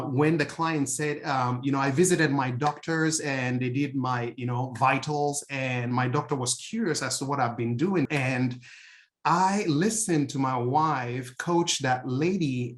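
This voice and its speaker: A middle-aged man with a Nigerian accent, a pastor sharing a personal testimony in an earnest, halting way with many fillers. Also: medium pitch. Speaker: outreach pastor and health coach.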